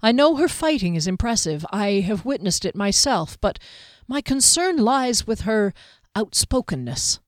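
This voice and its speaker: A woman's voice: medium pitch, very animated, unhurried, dry recording, studio quality. Fantasy audiobook role: dutiful, doubting young man, read by a woman, stiffly polite and earnest. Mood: formal, concerned. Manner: stiff, courteous, overwrought.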